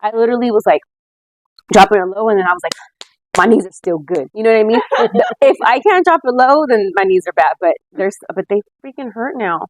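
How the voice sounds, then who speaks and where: A woman's voice: medium pitch, brisk, dry recording, low-quality recording. A woman in her late thirties, slightly buzzed, chatting casually with friends and acting out what people said as she tells stories. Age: late 30s. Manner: animated storytelling with acted-out quotes, lots of "like". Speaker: podcast co-host. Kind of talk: casual boozy chat among friends.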